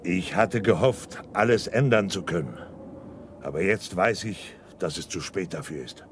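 An actor playing a grave, proud Navajo chief, solemn and curt, in a radio play. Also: male voice, sits low, a little slow, slight background noise. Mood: resigned, solemn. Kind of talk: 1980s German radio play acting.

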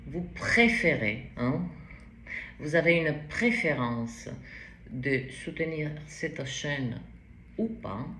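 Accented woman, tarot reader, unhurried, stops and restarts, echoey room, faint hiss. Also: low voice, animated, fairly bright tone. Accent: foreign accent in French. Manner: slow, halting, many fillers and restarts. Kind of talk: casual tarot reading to camera. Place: bright indoor room at a table. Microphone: camera in front at table height. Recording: roomy echo, faint background hiss.

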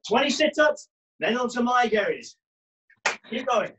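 A man's voice: extremely high pitch, big pitch swings, unhurried, background noise. A man coaching an online karate class from a small brick-walled gym, calling out drills and cheering students on as he trains with them. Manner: barking encouragement and commands while training. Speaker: karate instructor.

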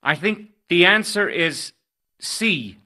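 An actor playing a confident husband competing on a quiz show in an audio drama. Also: male voice, sits high, animated, slow, bright, forceful tone, full character voice. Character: confident married male quiz contestant.

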